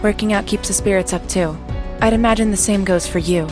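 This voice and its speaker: A composed, no-nonsense young woman who works security, played by an actress. Her voice is medium in pitch, with dry, firm delivery in audio drama style. Music is clearly heard behind her. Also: brisk, natural voice. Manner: level-headed, dry, firm.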